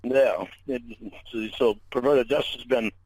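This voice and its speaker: A man chatting casually on a phone call in an animated, amused way, often exclaiming. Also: medium pitch, moderate pace, breathy edge, no echo.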